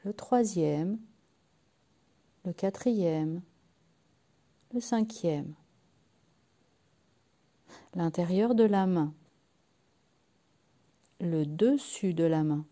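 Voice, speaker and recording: A woman leading a guided yoga nidra relaxation in a soft, sometimes breathy voice, soothing and unhurried, leaving long pauses between short phrases. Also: fairly low pitch. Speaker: guided meditation and sophrology practitioner.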